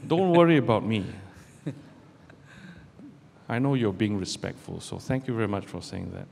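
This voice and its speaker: A middle-aged man from Malaysia, a barrister giving a measured, deliberate public talk to students from a podium in a lecture hall. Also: lowish voice, sing-song, vocal fry, a little mellow. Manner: measured, deliberate delivery with pauses. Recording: roomy hall with long reverb, faint background noise.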